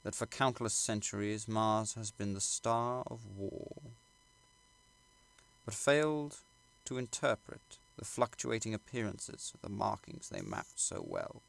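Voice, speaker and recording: A man reading literary fiction aloud as an audiobook narrator, in a measured, formal storytelling manner. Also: on the low side, very animated, creaky, low-quality recording. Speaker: audiobook reader.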